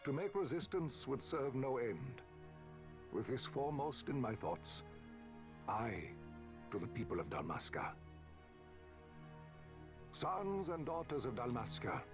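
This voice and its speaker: A man's voice: medium pitch, very animated, slow pace, pauses often, over music. An actor playing a solemn older nobleman in a video game, giving formal, stately narration and oratory. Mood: grave, stately. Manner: grave, stately, declamatory.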